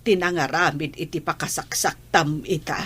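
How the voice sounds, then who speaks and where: A woman's voice: sits low, fairly even intonation, moderate pace, a bit bright, breathy edge. A Filipino woman playing an older mother admonishing her grown daughter in a radio drama. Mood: scolding.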